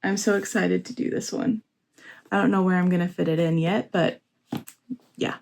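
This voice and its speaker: A young woman vlogging casually at home, her voice a little hoarse and sore, excitable and laughing often. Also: on the low side, moderate pace, slight background noise. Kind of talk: casual vlog chat. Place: home, indoors.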